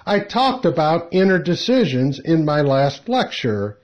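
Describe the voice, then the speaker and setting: A man reading a spiritual lecture aloud in a measured, deliberate way. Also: fairly high pitch, sing-song. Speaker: lecture reader. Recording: dull, muffled lo-fi audio.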